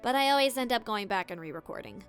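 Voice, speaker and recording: A woman's voice: a little high, expressive, fast, faint music behind. A woman hosting a scripted, playful tutorial as a voice actor, with theatrical emphasis. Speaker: voice actor and video host.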